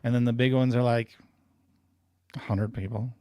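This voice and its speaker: A man's voice: mid-range voice, little pitch movement, normal speed, mellow, no room sound, clean studio sound. A man co-hosting a podcast, chatting casually and hesitantly, often trailing off and restarting his sentences. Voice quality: says he has a big voice. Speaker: podcast co-host, dice event organizer. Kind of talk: casual chat.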